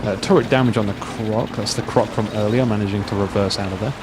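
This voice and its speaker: A man's voice: fairly low pitch, steady tone, fast. A man giving casual, bantering live commentary on a video game match. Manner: joking, bantering. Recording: clear vehicle and game battle noise. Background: war game sounds, vehicles.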